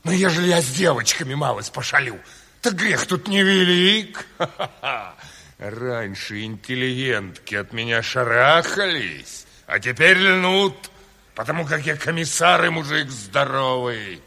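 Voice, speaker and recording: An actor playing a brazen, boastful dock-loader turned commissar, swaggering and mocking in a Soviet radio drama. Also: male voice, medium pitch, expressive, unhurried, pushed and bright, exaggerated voice. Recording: reverberant hall-like studio radio play.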